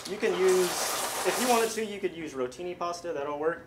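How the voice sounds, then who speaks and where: A man's voice: high-pitched, expressive, fairly quick. A young American man, a firefighter, chatting casually while he cooks. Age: young adult. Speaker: firefighter cooking a recipe. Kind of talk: casual chat. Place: firehouse kitchen, indoors. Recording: clear kitchen noise, sizzling pan.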